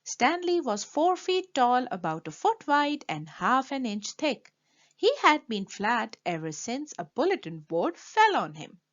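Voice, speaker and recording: A woman reading a children's story aloud in an expressive, emphatic way. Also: on the high side, dull audio. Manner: animated, emphatic delivery for children.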